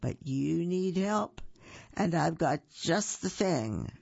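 A storybook reader voicing a sly, fast-talking fox salesman with an excited, salesy patter. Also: mid-range voice, gravelly, slightly rough, dull audio, exaggerated voice. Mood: coaxing.

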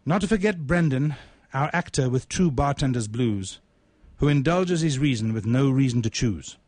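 A man speaks as a radio host and poet in a very dry recording with little room sound. His pitch sits in the middle, and his delivery is that of a storyteller sharing spoken word. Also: lively intonation, moderate pace, a little mellow, dry recording. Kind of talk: conversational storytelling and poetry recitation.

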